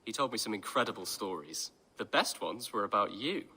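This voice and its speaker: An actor playing an earnest, polite young prince in a video game cutscene. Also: male voice, on the low side, expressive, fairly quick, pushed and bright, husky, long reverb tail, heavily acted. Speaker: game character.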